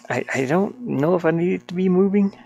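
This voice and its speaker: A solo game developer thinking aloud in a casual, unscripted way, with a creaky voice and colloquial, mildly grumbling speech. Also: medium pitch, fairly quick, a little mellow, highs cut off. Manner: muttering to self, grumbling mildly, colloquial 'ain't' and double negatives. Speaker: solo game developer narrating their work. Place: small warm room with a fan, indoors.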